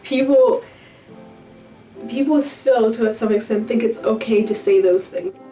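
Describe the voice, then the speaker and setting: A woman's voice: a little high, unhurried, mellow, soft background music. A young woman from Zambia, a student, speaking candidly in a documentary voice-over, often saying "like". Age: young adult. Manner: candid, conversational, filler "like". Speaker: university student.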